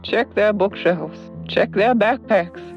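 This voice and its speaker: A man playing a fiery preacher, loud and impassioned, warning in sermon style. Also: high voice, takes it slowly. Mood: alarmed, fervent. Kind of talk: fire-and-brimstone sermon. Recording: dull lo-fi broadcast clip over music.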